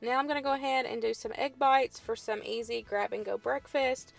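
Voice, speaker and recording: A woman's voice: a little high, brisk, creaky, soft background music. A woman in her thirties with a Southern US accent, casually explaining her home cooking in a voice-over. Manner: chatty, friendly how-to explaining with "y'all" and "um". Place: home kitchen.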